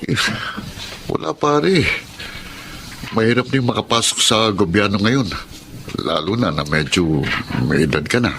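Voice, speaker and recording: Male best-mate role, Filipino accent, lowish voice, joshing and laid-back, radio drama with slight background noise. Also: lively intonation, unhurried, pauses often, fairly bright tone. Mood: consoling. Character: easygoing, teasing male drinking buddy. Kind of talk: Tagalog radio drama acting.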